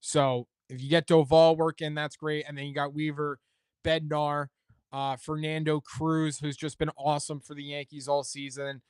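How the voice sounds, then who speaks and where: A man's voice: fairly high pitch, little pitch movement, moderate pace, clean tone, no echo, clean studio sound. A man hosting a sports talk show, chatting casually and thinking aloud as he weighs his opinions. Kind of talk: casual sports commentary chat.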